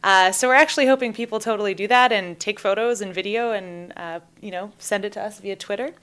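A woman's voice: medium pitch, fairly quick, fairly bright tone, clear, resonant tone. A Canadian woman guest chatting casually and eagerly about a community project, laughing easily. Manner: upbeat, talkative, laughs easily. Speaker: guest, taskforce co-lead. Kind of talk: casual podcast chat.

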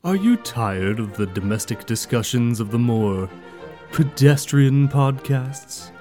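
A man's voice: animated, slow, dark tone. Pompous man reading a promo over music. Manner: mock-highbrow, pompous. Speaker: podcast promo announcer. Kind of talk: scripted tongue-in-cheek podcast promo.

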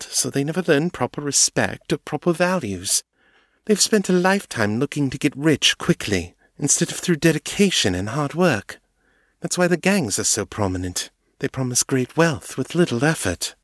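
A man's voice: on the high side, no room sound, clean studio sound, pitched above the performer's own voice. A man voicing a haughty, aristocratic female vampire who speaks in a polished, condescending way. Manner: polished, formal, patronizing.

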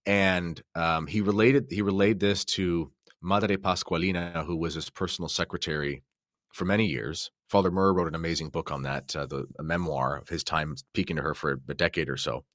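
Male podcast commentator, low register, enthusiastic explainer, dry recording. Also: steady tone, normal speed, a bit bright, dry recording. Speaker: podcast guest or co-host commentator.

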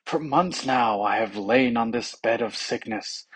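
A man's voice: mid-range voice, slightly rough, poor audio. A man voicing a wrathful ailing king. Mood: weary, threatening. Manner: slow commanding speech.

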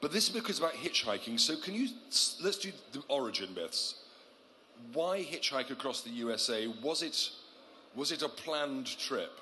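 A chatty man hosts a live festival stage session and talks in a loud voice. His voice rings through a roomy hall over steady crowd noise. He jokes his way past the mic trouble. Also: medium pitch, moderate pace. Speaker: festival host and interviewer. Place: stage at a travel writers festival.

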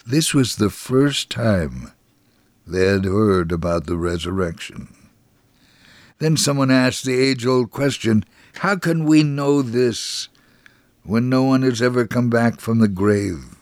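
A man reading a scripted first-person religious narrative in a measured, deliberate, earnest way. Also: medium pitch, no room sound. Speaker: reader of a first-person testimony.

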